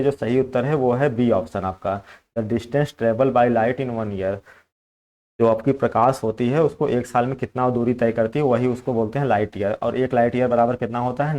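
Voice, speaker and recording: An Indian-accented man speaks as a teacher in a medium-pitched voice. He explains exam questions in an instructive lecture style, moving back and forth between two languages as he goes. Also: a little mellow. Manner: instructive, code-switches between Hindi and English.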